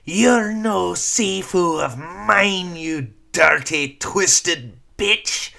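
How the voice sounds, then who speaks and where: A man's voice: high voice, drawn-out words, creaky, sharp tone, pitched above the performer's own voice, full character voice. A man voicing a fierce young Scottish woman warrior who sneers out insults. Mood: sneering.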